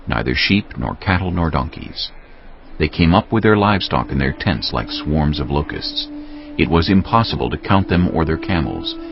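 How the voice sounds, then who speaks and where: Very deep, gravelly male scripture narrator. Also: a little hiss or hum. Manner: measured storytelling. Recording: dull lo-fi audio, faint music. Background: music.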